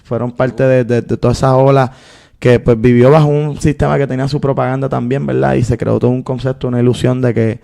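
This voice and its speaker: A Puerto Rican man in his thirties speaks as an interview guest, his voice gravelly with a dark, mellow tone. He tells his story in long, earnest stretches, unhurried and full of fillers. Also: medium pitch, flat delivery. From Puerto Rico. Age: 30s. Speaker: guest, activist and filmmaker.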